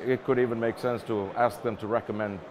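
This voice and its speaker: A man's voice: mid-range voice, fairly even intonation, a little fast, noisy background. A middle-aged man from Iceland giving casual interview answers, thinking aloud and repeating words as he goes. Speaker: interview guest, game publishing executive. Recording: busy event crowd behind the voice.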